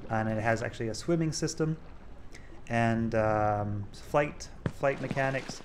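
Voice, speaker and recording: A man giving a casual, halting screen-recorded demo as a game developer, thinking aloud as he goes. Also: mid-range voice, a little hiss or hum. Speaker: game developer showing a project.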